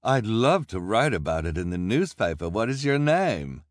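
A man's voice: on the low side, very animated, moderate pace, dry recording, clean studio sound. A man voicing an eager woman newspaper reporter who chews gum, in an audiobook.

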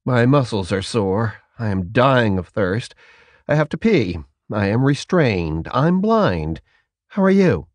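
Man voicing a wry, defiant captive woman soldier, deadpan. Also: mid-range voice, takes it slowly, no room sound. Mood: wry complaint. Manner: deadpan, sardonic. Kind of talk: audiobook character dialogue.

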